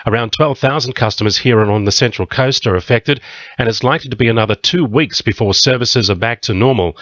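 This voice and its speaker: A man's voice: fairly low pitch, fairly bright tone, dry recording. An Australian man reading a scripted radio news bulletin as the newsreader. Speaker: news reader. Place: dry radio studio. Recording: slightly muffled, dull radio audio.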